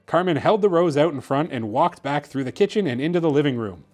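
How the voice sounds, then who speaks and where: A man hosting and narrating a children's bedtime story in an expressive, theatrical way. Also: on the high side, fairly quick, faint music behind. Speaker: host reading children's books aloud.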